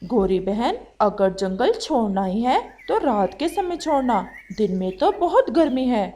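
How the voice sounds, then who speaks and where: A woman's voice: fairly high pitch, sing-song, unhurried, dark tone. A woman voicing plain-spoken bird and cloud friends in a children's cartoon, in a matter-of-fact manner. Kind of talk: Hindi children's cartoon voice acting.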